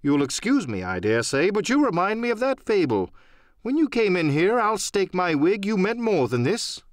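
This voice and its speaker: A man voicing a shrewd, courteous gentleman doctor in an audiobook drama, with a measured, polite and gently probing manner. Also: high-pitched, big pitch swings, no room sound, clean studio sound, voice pushed up. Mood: wry, knowing. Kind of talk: audiobook radio-play acting.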